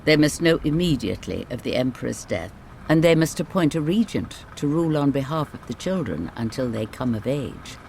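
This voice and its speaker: A storyteller voicing a grave, authoritative nobleman in a fairy tale, with formal, measured speech. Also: lively intonation, darkish tone. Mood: grave, commanding. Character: Lord Grath, grave commanding adult nobleman, the dead Emperor's brother. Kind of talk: bedtime fairy tale character reading. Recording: clear background rain and rumble noise.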